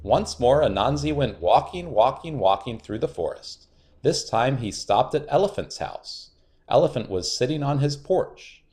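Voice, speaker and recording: A man reads a children's story aloud in a mid-pitched voice. His delivery is highly animated and expressive, leaning on key words for dramatic effect. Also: moderate pace. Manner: expressive, dramatic repetition and emphasis. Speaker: storybook narrator. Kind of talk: children's read-aloud narration.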